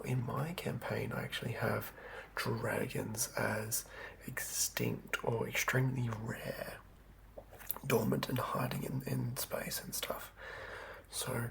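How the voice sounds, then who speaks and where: An ASMR host and game master speaking in a breathy whisper, rambling unscripted and thinking aloud in a hushed way. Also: medium pitch.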